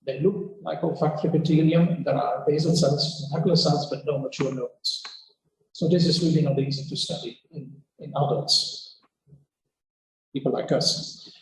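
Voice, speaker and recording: A man giving a scientific workshop talk, relaxed and halting, with many fillers and restarts. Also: on the high side. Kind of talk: conference lecture. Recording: very echoey hall, faint background hiss.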